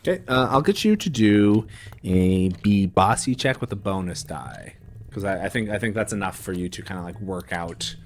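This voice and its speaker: A man casually narrating a tabletop game as game master, speaking in a halting, conversational way. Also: low-pitched, expressive, a little hiss or hum.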